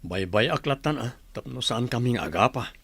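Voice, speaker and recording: Long-suffering husband and father, Filipino accent, medium pitch, plaintive complaints, radio drama. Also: lively intonation, a little fast, vocal fry, a bit bright, slightly rough, dry recording, heavily acted. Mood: resigned. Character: weary, neglected working husband and father, plaintive.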